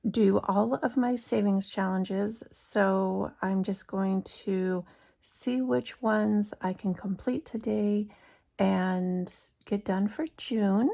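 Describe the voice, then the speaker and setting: A hoarse woman with a gravelly, husky edge, talking at an unhurried pace. She chats casually to her viewers about budgeting and counts cash out loud as she goes. Her voice sits a little high but sounds mellow. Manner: chatty, talks to viewers while counting cash aloud. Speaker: budgeting vlogger. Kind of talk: casual chat while cash stuffing.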